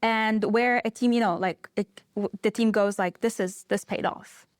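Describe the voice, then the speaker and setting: A woman's voice: medium pitch, fairly even intonation, normal speed, clean tone, dry recording, clean studio sound. A young woman co-hosting a podcast, asking questions in a chatty, playful way with plenty of "like" and "you know". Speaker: podcast co-host. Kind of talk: casual podcast interview.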